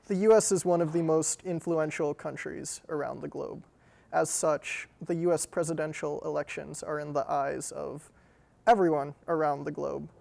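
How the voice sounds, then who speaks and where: A man's voice: a little high, vocal fry, a little mellow. A young man moderating a student panel, reading formal prepared questions.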